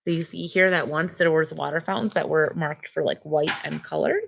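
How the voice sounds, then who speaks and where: A woman reading a picture book aloud to children like a teacher, pausing to explain things in simple words. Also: low voice, fairly even intonation, gravelly, slight background noise. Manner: simple, child-directed explaining with short phrases. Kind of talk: read-aloud storytelling with simple explanatory asides.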